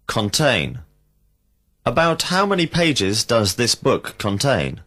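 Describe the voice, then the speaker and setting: A man reading out slow, carefully enunciated drill questions as an English teacher in a recorded lesson. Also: medium pitch, sing-song, a bit bright. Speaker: language teacher.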